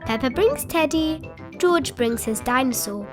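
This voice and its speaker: A woman narrates a children's storybook in a high, very animated voice. She takes her time with the sentences, and there is faint noise behind her. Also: a little slow, music in the background. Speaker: storybook reader. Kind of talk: expressive read-aloud narration for small children.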